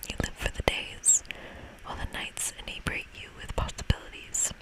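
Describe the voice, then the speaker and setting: A host whispering softly and chattily through an ASMR video game playthrough, speaking without hurry. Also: normal speed.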